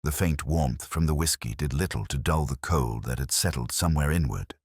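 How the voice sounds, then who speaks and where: A man playing a weary, ageing English detective, narrating a literary drama in a measured, solemn, reflective way. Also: extremely low pitch, husky, dry recording, clean studio sound. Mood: somber, reflective. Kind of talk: first-person literary audio drama narration.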